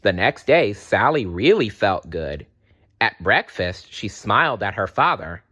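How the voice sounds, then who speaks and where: A man narrating a children's story aloud in an expressive storytelling style. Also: fairly low pitch, a little slow.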